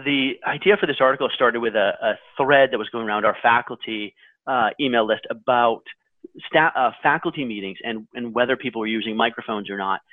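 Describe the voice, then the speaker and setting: A man talking casually as a podcast co-host, with a loud voice that carries. He rambles and restarts his sentences. His audio sounds thin and phone-like, with no room echo. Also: medium pitch, fairly quick. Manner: loud, carrying voice. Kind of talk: casual chat. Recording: tinny phone-like line.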